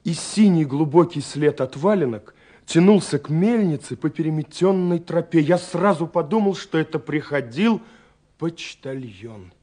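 A man's voice: a little high, lively intonation, moderate pace, warm tone. An actor playing a dreamy, romantic young man in a radio play, musing tenderly over his love letters in a voice that often sinks toward a whisper. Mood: quiet narrating, expectant. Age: young adult. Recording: 1970s radio recording with music behind. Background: music.

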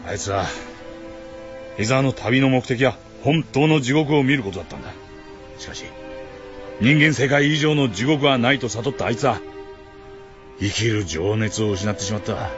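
An actor playing a resolute, plain-spoken expedition leader in a radio drama, terse and commanding. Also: male voice, mid-range voice, normal speed, pauses often, close to an everyday voice. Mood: somber. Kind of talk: Japanese radio drama acting. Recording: dull audio with background music.

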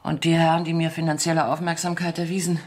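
An actress in a German radio play voicing a seductive, mocking dancer accused of espionage, theatrical and ironic, sometimes dropping into breathy murmurs. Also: female voice, sits low, flat delivery, fast talker, darkish tone, natural voice. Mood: haughty.

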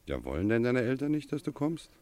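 An actor in a radio play playing a gruff but kindly schoolmaster, mock-stern, prodding and fatherly. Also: mid-range voice, sing-song, fairly quick, a little mellow, no room sound, natural voice. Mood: insistent, fatherly.

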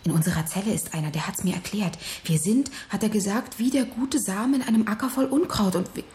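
A woman's voice: medium pitch, brisk, darkish tone, a little hiss or hum, close to an everyday voice. An actress playing an earnest, defiant young factory woman in a radio drama, speaking with intensity. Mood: urgent, conspiratorial. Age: young adult. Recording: roomy, echoing interior.

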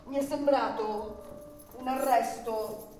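A woman's voice: a little high, fairly even intonation, takes it slowly. An actress playing an anxious, deferential, gossiping boarding-house landlady, hesitant and solicitous, in Italian radio theatre style. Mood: timid, solicitous. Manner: hesitant, solicitous, stammering repetitions. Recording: roomy, distant from mic, music behind.

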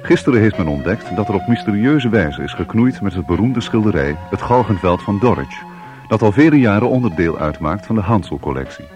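An actor as a sober report reader in a radio play. His voice is on the low side, reading formally and with measure over faint music. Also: expressive, brisk, natural voice. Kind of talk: scripted news report in radio drama.

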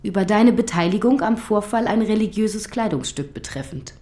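A woman playing a middle-aged schoolteacher in a radio drama, patient but official, measured and formal. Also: medium pitch, animated, mellow, natural voice. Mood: serious, careful.

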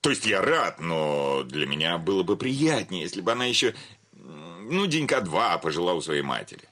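An actor playing a pompous, jittery, sentimental bank director in a radio play, with a fussy, hesitant manner. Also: male voice, low-pitched, very animated, normal speed, sharp tone, full character voice. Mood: nervous, weary. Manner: fussy, self-important, hesitant. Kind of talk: theatrical radio play of a classic comedy.

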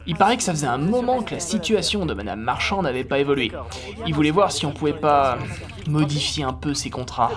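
Woman playing a low, brash sales colleague. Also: lively intonation, fairly quick, music in the background. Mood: dismissive, cynical. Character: pragmatic, cynical sales-driven office colleague Michel. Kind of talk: scripted audio-drama acting.

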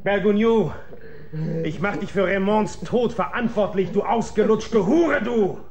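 An actor playing a bitter, lovesick failed writer, coarse, taunting and accusing, in a radio drama. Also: male voice, high-pitched, unhurried. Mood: furious, accusing.

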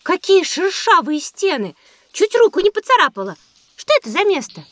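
A woman's voice: very high voice, big pitch swings, normal speed, fairly bright tone, no echo, clean studio sound, full character voice. A woman playing a curious, chatty little girl in a children's audio drama.

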